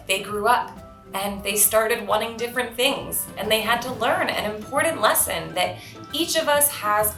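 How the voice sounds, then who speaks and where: Woman, author narrating for children, bright tone, expressive, earnest, echoey room, soft music behind. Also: mid-range voice. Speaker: author and storybook reader.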